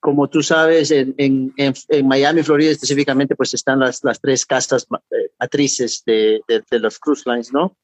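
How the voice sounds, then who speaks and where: A middle-aged man from Peru with a Peruvian accent, a guest talking in a halting way, repeating words and adding tag questions. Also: mid-range voice, fairly even intonation, no room sound. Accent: Peruvian Spanish. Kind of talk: interview.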